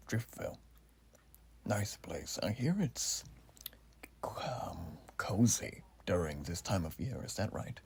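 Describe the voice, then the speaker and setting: A man playing an earnest, bitterly patriotic revolutionary soldier in a soft-spoken ASMR roleplay, polite and formal with quaint old-fashioned phrasing, his breathy voice often dropping to a whisper. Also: low voice, heavily acted.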